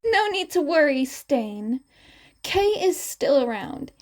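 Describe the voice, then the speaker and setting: Woman voicing a high, light young male vigilante. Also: sing-song, slow pace, full character voice. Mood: breezy. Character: calm, reassuring young male winged vigilante.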